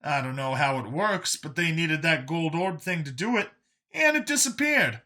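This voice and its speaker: A man voicing an earnest older male escaped captive in an audiobook, explaining past hardship in a halting, emphatic way. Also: fairly high pitch, expressive, pushed and bright, voice pushed up. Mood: grim recounting. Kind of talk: audiobook character dialogue.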